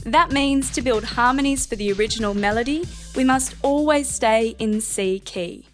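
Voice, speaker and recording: High-voiced woman narrating a software tutorial. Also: normal speed, music in the background.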